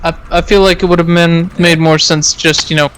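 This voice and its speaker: A man's voice: a little high, level tone, brisk, fairly bright tone, clean tone. A man chatting casually on a game stream, rambling and often repeating himself. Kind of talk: casual gaming chat.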